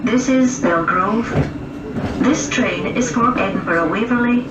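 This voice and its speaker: A woman reads railway announcements formally, in slow and carefully separated phrases. Her voice comes from a distant train speaker, echoing under the loud noise of the carriage. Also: a little high. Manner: measured, formal, segmented phrasing. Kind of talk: recorded railway passenger announcements. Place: aboard a moving passenger train. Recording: muffled, noisy, echoey train PA captured from afar. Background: loud train and rail noise.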